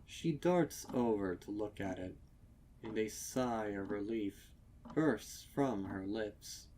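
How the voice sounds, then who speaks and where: Slow, low-voiced man narrating horror. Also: animated, noisy background. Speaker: fan fiction narrator. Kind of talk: dramatic scripted horror narration.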